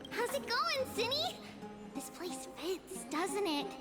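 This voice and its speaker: A woman's voice: extremely high pitch, sing-song, slow pace, heavily acted. A woman playing a cheerful, childlike girl mentor in a video game, with music playing behind her.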